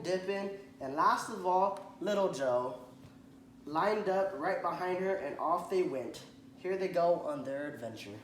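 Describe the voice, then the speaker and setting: A man reading a children's picture book aloud to a child, stopping to point at the pictures and ask questions, and often clearing his throat. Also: high voice, a bit bright. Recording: echoey room, faint background noise.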